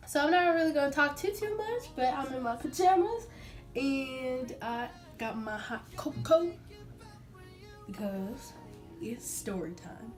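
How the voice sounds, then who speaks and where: A young adult American woman telling a personal story casually to her viewers in an informal, animated way. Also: on the high side. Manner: chatty, informal, animated retelling with acted-out quotes. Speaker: vlogger.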